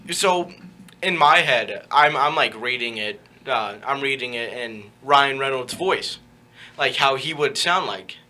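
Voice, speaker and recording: A young man co-hosting a radio show in casual chat, rambling and halting as he searches for words. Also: medium pitch, lively intonation, pushed and bright. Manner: rambling, halting, many restarts and "uh"s.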